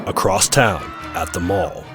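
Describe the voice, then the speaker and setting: A man's voice: deep voice, a little slow, loud music behind the voice. A man giving scripted, present-tense action narration for an audio drama.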